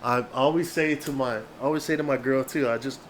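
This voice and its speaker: A man in his thirties, a rapper guest, talking in a relaxed, low-key way that trails off softly in casual interview chat. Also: a little fast, slight background noise. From Canada. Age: 30s.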